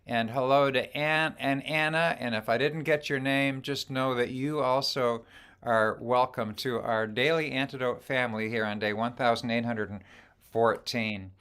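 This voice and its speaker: A man's voice: medium pitch, bright, forceful tone, clear, resonant tone. A man hosting an online sing-along over a video call, chatty and cheerful, greeting viewers by name. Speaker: host.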